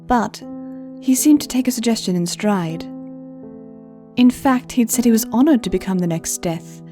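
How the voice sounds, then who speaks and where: A woman narrating a scripted story in a measured, gentle storytelling manner. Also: on the high side, expressive. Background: faint music.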